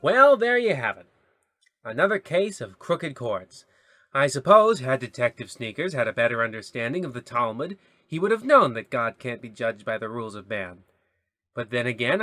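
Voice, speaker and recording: An actor playing a wry, disdainful radio anthology narrator with a deadpan, mock-solemn sign-off style. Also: male voice, medium pitch, fairly quick, dry recording.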